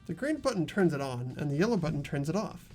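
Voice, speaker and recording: A playthrough host reading a video game's narration aloud in an expressive storytelling way. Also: mid-range voice, quick pace. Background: faint music.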